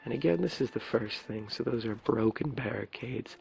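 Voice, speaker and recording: A man with a low raspy voice giving an ASMR gameplay tour as a video creator, talking softly in a hesitant, rambling way. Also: normal speed, a little hiss or hum. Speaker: video creator showing his game builds. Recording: dull lo-fi mic, clear background music. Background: game music.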